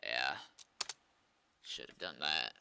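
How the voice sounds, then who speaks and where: A man's voice: extremely low pitch, slow pace, creaky, pushed and bright, a little hiss or hum. A man with an American accent chatting casually and loosely, in a hesitant, rambling way, like a developer streaming while he works. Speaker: game developer live-streaming.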